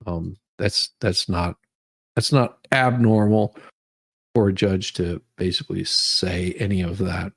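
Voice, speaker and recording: A man's voice: sits low, steady tone, a little slow, husky. A man hosting a livestream, reading documents aloud and adding informal, rambling commentary in which he often repeats words. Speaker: livestream host. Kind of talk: dramatic reading of legal documents with casual commentary.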